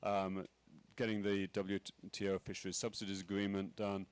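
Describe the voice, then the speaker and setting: A man speaking on a conference panel, halting with many fillers and restarts. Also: low-pitched, little pitch movement, clean tone, no room sound. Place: conference pavilion.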